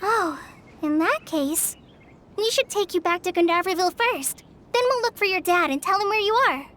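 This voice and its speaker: A woman voicing a kind, eager young girl forest ranger in an animated video game, with a gentle, earnest manner. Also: very high voice, normal speed, slight background noise. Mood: concerned. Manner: gentle, earnest, bubbly when excited. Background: prominent music.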